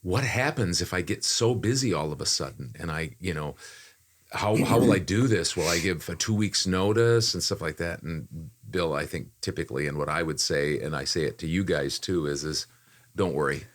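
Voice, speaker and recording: A man's voice: low voice, moderate pace. An older man co-hosting a casual podcast, talking in a rambling, self-deprecating way about his work. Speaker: voice-over talent, podcast co-host. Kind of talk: casual chat.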